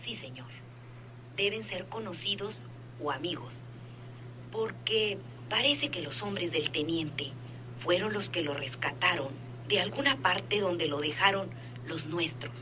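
An actress in a radio drama playing a dutiful head nurse informant, precise, businesslike and deferential, on a noisy old recording. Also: female voice, lowish voice, a little slow, lightly acted. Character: dutiful female head nurse secretly informing a crime boss. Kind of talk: radionovela acting.

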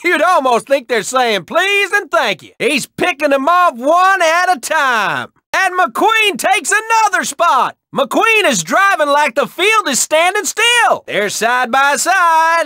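An actor playing a folksy, excitable race announcer in a video game, exclaiming and stretching words for emphasis in projected sports commentary. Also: male voice, no echo, studio quality, full character voice. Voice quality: projected, high-pitched.

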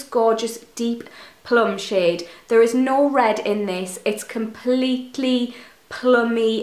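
A woman's voice: fairly high pitch, roomy sound. A young woman in her twenties, a beauty vlogger chatting to camera in her bedroom, sounding a bit nasal from a cold and gushing about products. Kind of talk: casual product review to camera. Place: bedroom, indoors. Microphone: camera in front at close range.

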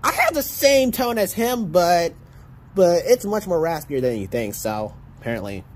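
A teenage boy casually commenting on short videos outdoors, excitable and exclaiming, with stumbling restarts. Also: high-pitched, moderate pace. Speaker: reaction video host. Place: outdoors on a patio by a pool. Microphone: phone camera pointed at a laptop.

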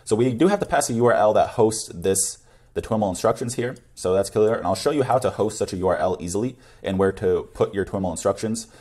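A man's voice: lowish voice, a little fast, a little mellow. A young man presenting a coding tutorial in a casual screencast style. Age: young adult.

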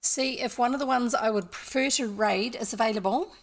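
Casting: older New woman, gravelly edge, to host a casual, rambling livestream chat. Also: on the high side, fairly quick. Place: indoor room, home streaming setup.

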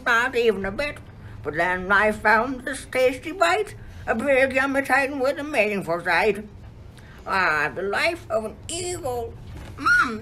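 A woman's voice: high-pitched, bright, forceful tone, exaggerated voice. A woman playing a greedy eagle in a radio play, savoring each line in a gloating sing-song. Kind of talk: amateur radio play acting. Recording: noisy amateur recording with clicks.

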